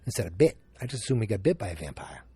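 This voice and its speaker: A man's voice: on the low side, big pitch swings, rapid-fire, dark tone, breathy edge, dry recording. A man from Massachusetts with a Boston accent, hosting a solo podcast in a rambling style full of restarts and fillers. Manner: rambling and self-interrupting, with frequent fillers. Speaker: podcast host.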